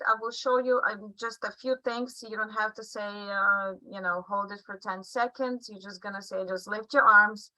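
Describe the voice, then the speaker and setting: A woman's voice: a little high, bright, forceful tone, dry recording, poor audio. A woman whose first language is Russian, a physician, patiently coaching colleagues through phrases on a video call. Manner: slow, patient coaching with laughs. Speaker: physician teaching stroke exam phrases. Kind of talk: online teaching session. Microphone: computer mic on a video call.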